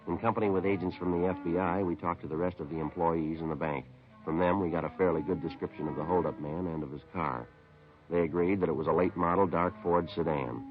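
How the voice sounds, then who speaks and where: An actor playing a matter-of-fact police detective in a 1950s radio drama, clipped, deadpan and businesslike. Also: male voice, low voice, fast talker, faint music behind, close to an everyday voice. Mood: deadpan narrating. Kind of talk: terse police procedural narration and dialogue, 1950s radio. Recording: dull vintage 1950s radio recording.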